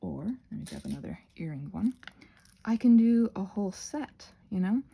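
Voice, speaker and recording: A woman hosting a casual craft tutorial, thinking aloud in a scattered, self-interrupting way with playful, expressive exclamations. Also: medium pitch, normal speed, creaky, husky. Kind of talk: rambling casual craft narration.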